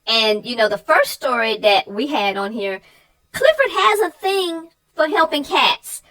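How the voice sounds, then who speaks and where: A woman co-host chatting casually and agreeably in an unhurried way about a children's story. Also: high voice, very animated, bright, forceful tone, rough recording.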